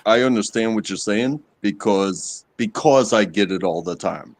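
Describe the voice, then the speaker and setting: A lowish-voiced man from the United States chats as a guest on a live stream. He repeats words and stumbles over fillers as he talks. His voice sounds dry and close. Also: moderate pace, dry recording. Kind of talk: casual live-stream chat.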